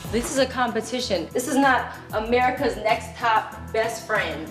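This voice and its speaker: A woman's voice: fairly high pitch, expressive, moderate pace, reverberant, music in the background. A woman, a modeling competition contestant, speaking in a grandly self-assured way in reality show confessionals.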